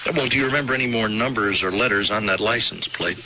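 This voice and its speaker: An actor playing a halting, polite state trooper. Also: male voice, on the low side, natural voice. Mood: probing. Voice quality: halting, full of "uh" and "well". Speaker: radio drama actor. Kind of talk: 1970s radio drama acting. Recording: lo-fi old radio broadcast, faint hiss.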